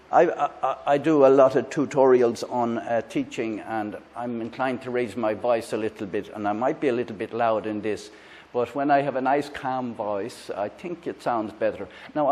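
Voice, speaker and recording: A man with an Irish accent, hosting a casual product review on a lavalier mic, talking in a halting way with many fillers and restarts. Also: mid-range voice, a little mellow. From Ireland. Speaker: tech reviewer, channel host. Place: indoors in a room with a fan, then outdoors by a busy road.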